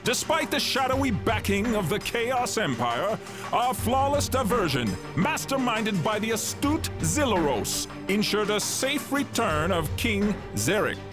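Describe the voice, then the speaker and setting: A man plays a loyal propaganda herald in a game, grandiose and pompous. The voice is high and swings widely in pitch as each scripted line is slowly declaimed. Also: very animated, over music. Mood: triumphant. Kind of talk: scripted game news announcement.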